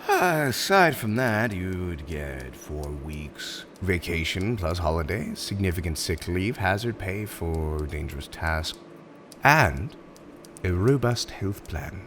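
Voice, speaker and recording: Guy doing a smug polite supervillain for asmr rp, theatrical, very animated delivery, some noise behind him. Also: deep voice, slow, voice pushed down, exaggerated voice. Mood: smug, businesslike. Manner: theatrical, courteous, self-satisfied, emphatic. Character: urbane, smug male supervillain interviewing a prospective minion. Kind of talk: ASMR roleplay monologue.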